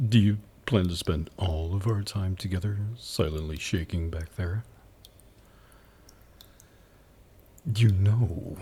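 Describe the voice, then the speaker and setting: Man voicing a slow, very low, haughty demon. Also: dark tone, slight background noise. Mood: mocking, condescending. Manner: slow, taunting, condescending purr. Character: haughty male demon buyer, predatory, flirty, mocking. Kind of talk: ASMR roleplay acting.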